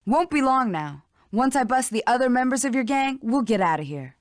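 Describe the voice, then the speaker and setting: An actress playing a tough, proud fox police inspector in a video game, brisk and self-assured. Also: female voice, on the high side, big pitch swings, no echo, clean studio sound. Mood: tough, resolute.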